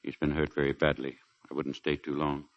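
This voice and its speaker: An actor playing a grave, discreet military doctor in a 1940s radio drama. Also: male voice, rumbling low, completely flat delivery, fairly quick, dry recording. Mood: solemn, hushed. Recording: muffled old radio recording.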